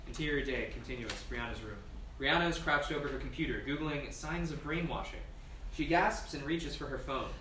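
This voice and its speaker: Bright-voiced man narrating, echoey room. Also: medium pitch, brisk. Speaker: stage directions reader. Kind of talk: scripted narration at a table read. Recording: echoey, distant room mic with noise.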